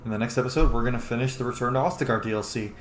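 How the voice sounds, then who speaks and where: A man's voice: mid-range voice, rapid-fire. A man giving casual, sweary gameplay commentary as a let's play host, talking to the game's characters as he plays. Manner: sweary, talks to game characters. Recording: roomy echo, clear background noise.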